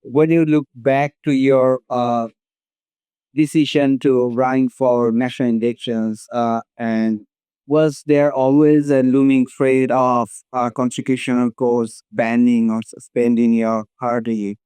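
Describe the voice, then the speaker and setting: A man with a dark, smooth voice puts a formal question from an audience. He goes hesitantly, pausing often, in a dry recording. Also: medium pitch, little pitch movement, no echo. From Bangladesh. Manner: hesitant, broken by pauses.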